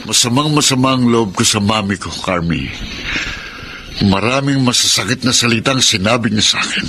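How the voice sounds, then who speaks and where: A man's voice: mid-range voice, moderate pace, natural voice. Wounded, self-pitying Filipino male lead, acted by a man, melodramatic radio serial style, audible noise behind. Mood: bitter, hurt. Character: proud, bitter, self-pitying husband and son. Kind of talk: Filipino radio drama acting. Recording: noisy ambience behind the voice.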